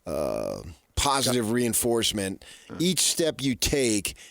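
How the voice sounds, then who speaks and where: An American man co-hosting sports radio, giving casual commentary in a measured, earnest manner. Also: mid-range voice, wildly swooping pitch, gravelly, fairly bright tone, dry recording. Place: radio studio.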